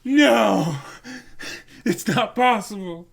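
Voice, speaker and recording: A man's voice: high-pitched, animated, drawn-out words, creaky. A man co-hosting a manga read-along stream, giving casual reactions and commentary.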